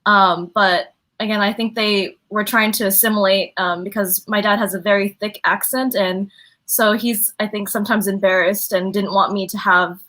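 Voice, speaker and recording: A woman from the United States speaking as a panelist in a casual chat, hesitant and pausing often on "um". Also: mid-range voice, steady tone, a bit bright. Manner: hesitant, many "um" pauses. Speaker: panelist, engineer.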